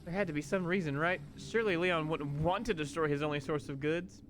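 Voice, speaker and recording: A man's voice: a little high, fairly quick, slight background noise, lightly acted. A man voicing a sardonic, exasperated young woman in a visual novel, dry and skeptical as she talks with her own mind. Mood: puzzled, wry. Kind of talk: visual novel character read-aloud. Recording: loud music behind. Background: loud music.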